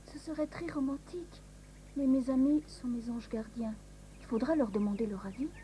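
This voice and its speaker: Lady doing a sweet romantic princess for a cartoon, really high young voice. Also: normal speed, a little hiss or hum, close to an everyday voice. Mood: torn, sweet. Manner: gentle, romantic, wistful. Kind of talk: French cartoon dub.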